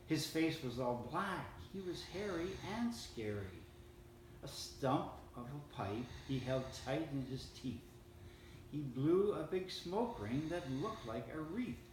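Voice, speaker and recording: A man reading a rhyming holiday poem aloud in a steady, measured way. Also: mid-range voice, a little hiss or hum. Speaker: storyteller reading a Christmas poem. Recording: roomy, distant mic, faint ambience.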